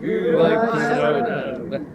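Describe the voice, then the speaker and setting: An actor playing a cocky, hard-drinking submarine commander, jovial, teasing and theatrical. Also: male voice, on the high side, sing-song, slow pace, very noisy. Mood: jovial, boastful. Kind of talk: dramatic radio acting.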